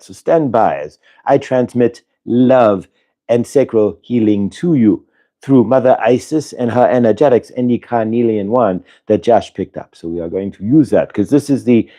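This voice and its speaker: A man's voice: fairly low pitch, warm tone, close to an everyday voice. Man channeling a benevolent spirit, oddly accented. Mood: soothing, instructive. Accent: affected, vaguely Indian-sounding put-on accent. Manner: declarative, speaks as "we", drawn-out emphatic words. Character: benevolent otherworldly spirit collective speaking through a channeler. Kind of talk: live channeled energy healing session for an audience.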